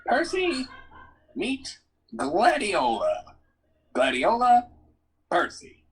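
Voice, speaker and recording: An earnest young satyr boy from a storybook, voiced by a woman. The voice is raspy and gravelly, with slow, matter-of-fact lines that swing in pitch. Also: wildly swooping pitch, exaggerated voice, poor audio. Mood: earnest, blunt.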